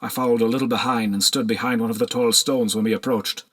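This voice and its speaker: A man narrating a historical novel as an audiobook in a measured, solemn storytelling manner. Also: medium pitch, fairly even intonation, fairly bright tone.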